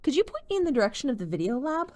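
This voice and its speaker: A woman voicing a polite, bright teenage girl detective in an audiobook. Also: high voice, very animated, rapid-fire, pitched above the performer's own voice. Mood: polite cheerful.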